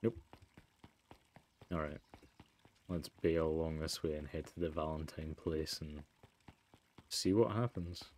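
A man's voice: rumbling low, steady tone, unhurried, pauses often, a little mellow. A man doing gameplay commentary as a let's play host, reading game text aloud.

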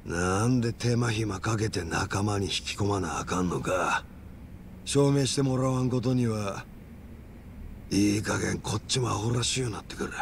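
A man's voice: lowish voice, moderate pace, creaky, slight background noise. A man playing a calculating, menacing yakuza in a video game, with a Kansai accent and polite speech that carries blunt threats. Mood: challenging. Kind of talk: dramatic video game cutscene acting.

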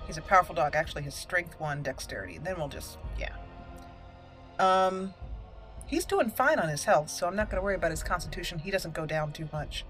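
A woman's voice: lowish voice, brisk, a bit bright, soft background music. A woman hosting a gaming channel, giving casual, playful let's play commentary and talking to the characters. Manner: playful, talks to game characters.

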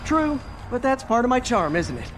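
A man's voice: very high voice, big pitch swings, normal speed, background noise. An actor playing a cheeky, eager young male superhero in a video game, full of quips. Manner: cheeky, quippy.

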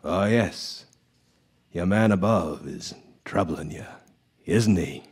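A sinister backwoods woodsman, acted by a man in a radio play. The voice is deep and husky, drawn out slowly, with a folksy, insinuating menace that sounds half seductive. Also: darkish tone, exaggerated voice. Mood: sly, menacing. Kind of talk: live radio drama acting.

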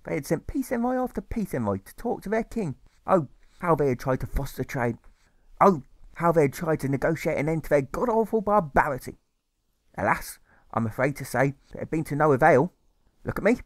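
A man's voice: a little high, lively intonation, fairly quick, no room sound, voice pushed up. A man voicing a fretful, doting old mother in an audiobook, theatrical and repeating phrases insistently. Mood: dramatic, reminiscing. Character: fretful, doting, nagging working-class old English mother. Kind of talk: audiobook character voice.

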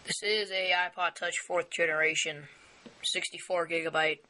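A man's voice: a little high, level tone, moderate pace, bright, forceful tone, poor audio. A young man who is losing his voice, walking viewers through a phone setup in a casual, rambling way with many fillers. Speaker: tech video maker. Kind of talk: casual screen walkthrough. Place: indoors at a wooden table. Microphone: camera pointed down at a device on a table.

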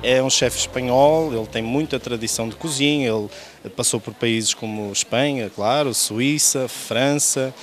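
A man's voice: mid-range voice, moderate pace. A man being interviewed with a handheld mic in a restaurant dining room, speaking hesitantly, searching for words and restarting phrases. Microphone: handheld reporter mic.

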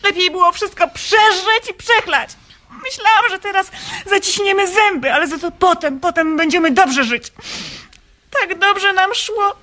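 An actress playing an embittered, highly strung wife in a radio comedy, shrill, indignant and emotional. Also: female voice, a little slow, pushed and bright, heavily acted. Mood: bitter, exasperated. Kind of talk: radio comedy drama acting.

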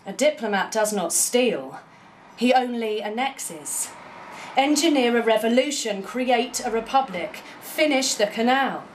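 Young woman doing a dramatic poetry reading in a little cafe, measured and declamatory. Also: on the high side, animated, slight background noise. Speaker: poetry reader. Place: small indoor cafe with bookshelves.

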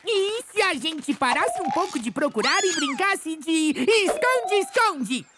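An actor playing an excitable, playful young raccoon in a children's cartoon, eager and theatrical, with a Brazilian accent. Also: extremely high pitch, unhurried, very noisy, full character voice. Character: excitable, playful, pestering young raccoon who craves games. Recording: music behind the voice.

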